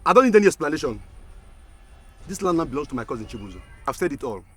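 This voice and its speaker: A man's voice: fairly high pitch, big pitch swings, fast talker, soft background music. An actor with a Nigerian accent playing a curt, bullying man in a film drama, brusque and commanding. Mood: dismissive. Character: curt, bullying man ordering a family off land.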